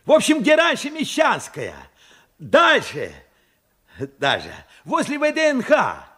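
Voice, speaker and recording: An old war veteran with a husky, unusually high voice that swings widely in pitch. He speaks haltingly and urgently, astonished and moved, in Soviet radio drama style. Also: wildly swooping pitch, a bit bright, heavily acted. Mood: hesitant. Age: older. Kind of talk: Soviet radio play acting.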